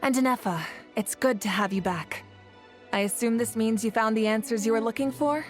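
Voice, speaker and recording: A fairly high, poised voice of an authoritative woman leader played by an actress in a video game. She is composed and decisive, with faint music behind her. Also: a little fast, natural voice.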